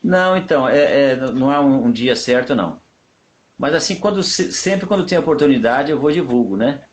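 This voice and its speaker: A middle-aged man, a pastor and speaker, chatting as a guest on a live video call without headphones, relaxed and easygoing. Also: mid-range voice, fairly quick. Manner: relaxed, easygoing, with short agreeing replies. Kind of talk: casual live-stream chat.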